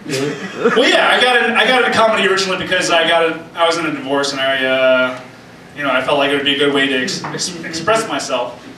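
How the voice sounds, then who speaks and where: A young man doing a stand-up set of deadpan puns at an open mic, then answering interview questions in a casual way. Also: on the high side, fast. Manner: deadpan punning, sings snatches of songs. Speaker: stand-up comedian and interview guest. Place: small open-mic club stage, indoors. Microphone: handheld mic, filmed by a far camera. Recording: roomy far-off camera audio with background noise.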